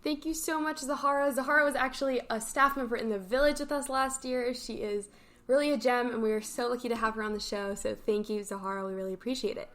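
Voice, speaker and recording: Bright-voiced woman hosting a show. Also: fairly high pitch, steady tone, fast talker. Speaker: show host. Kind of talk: upbeat morning show announcements and shout-outs.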